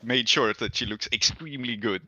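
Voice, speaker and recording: A man's voice: medium pitch, fairly quick, bright, forceful tone, dry recording, dull audio. A man improvising narration as game master in a tabletop roleplaying game, thinking aloud as he goes.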